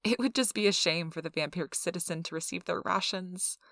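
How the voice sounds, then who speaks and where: Close and dry, a woman acts out a chatty receptionist-interviewer at a vampire donation clinic. Her voice is lowish with a crackly fry, and she talks in an officious, reassuring ASMR role-play manner. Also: brisk, a bit bright, no room sound. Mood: polite, businesslike. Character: chipper, gossipy female interviewer at vampire blood donation center.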